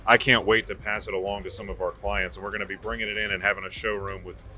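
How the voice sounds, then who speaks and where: Brief: agreeable male interviewer, low voice, sharp bright edge. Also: little pitch movement, fast. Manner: agreeable, chiming in with short replies. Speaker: interviewer and dealer. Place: demo room at a trade show.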